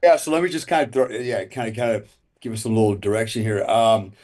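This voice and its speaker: Man, podcast guest, dark mellow voice, rambling storyteller, chuckles. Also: fairly low pitch, expressive, fast. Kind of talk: casual storytelling.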